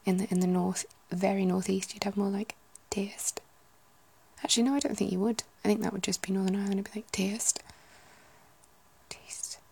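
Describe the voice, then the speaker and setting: A woman's voice: mid-range voice, little pitch movement, racing, gravelly, hardly any pauses, mellow, husky. A woman in her thirties with a British English accent, whispering casual ASMR chat and musing to herself. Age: 30s. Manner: whispering, musing, self-correcting.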